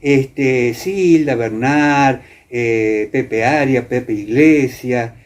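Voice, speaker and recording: An Argentine man recounting history conversationally as an interview guest, halting now and then as he searches for names. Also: medium pitch. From Entre Ríos, Argentina. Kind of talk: conversational storytelling. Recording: muffled, clear background noise.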